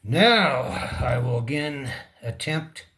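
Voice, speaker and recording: A man giving a casual hands-on repair demonstration, speaking in halting short fragments and muttering to himself as he works. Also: mid-range voice, expressive, a bit bright. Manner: talks to himself and the object. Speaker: repair tutorial presenter.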